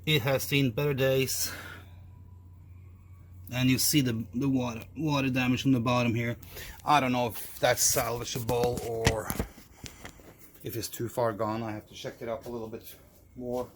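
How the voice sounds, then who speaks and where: A man's voice: mid-range voice, flat delivery, normal speed, pauses often. A middle-aged man from Norway, chatting casually as a vlogger showing used audio gear. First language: Norwegian. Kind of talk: casual chat. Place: shop room by an open door. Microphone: distant camera. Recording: far from the mic, clearly noisy.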